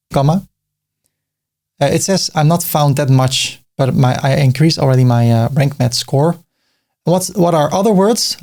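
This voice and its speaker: A man's voice: mid-range voice, a little mellow. Dutch-accented man talking through a tutorial. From Netherlands. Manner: chatty, with fillers and restarts, reads out as he types. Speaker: tutorial host. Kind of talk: screen-recorded tutorial.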